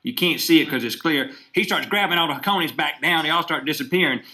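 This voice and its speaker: A man's voice: a little high, bright, forceful tone. A man playing an earnest, salesy small-time magician on a comic prank call, rambling along in a folksy way. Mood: salesy, eager. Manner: folksy, rambling, halting storytelling. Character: earnest, salesy small-time stage magician boasting of his rabbit act. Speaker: prank caller, show host.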